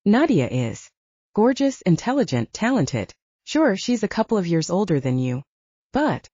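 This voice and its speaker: A woman's voice: medium pitch, sing-song, unhurried, warm tone, breathy edge, no echo, muffled recording. A woman narrator voicing a protective, commanding male werewolf, an older brother, in an audiobook. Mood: insistent.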